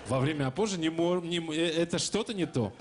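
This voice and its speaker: A man's voice: a little high, fast talker. A middle-aged man presenting a comedy show on stage with joking, theatrical banter into a handheld microphone. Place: television studio stage with audience. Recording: stage mic with faint studio noise.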